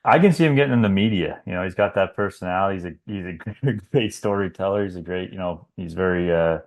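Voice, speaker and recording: A man's voice: low-pitched, fast, muffled recording. A man in his thirties from Canada, co-hosting a casual baseball podcast and talking loosely with many fillers. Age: 30s. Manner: conversational, rambling with fillers. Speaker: podcast co-host. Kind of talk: casual sports chat.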